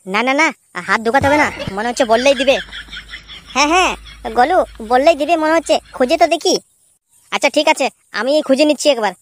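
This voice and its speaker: A performer voicing an excitable, crude petty crook in a slapstick cartoon, exclaiming and repeating words. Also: sits high, fast, long pauses, a little hiss or hum. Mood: scheming, eager. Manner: exclamatory, repetitive, swearing, bantering. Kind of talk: comic cartoon dubbing in colloquial dialect.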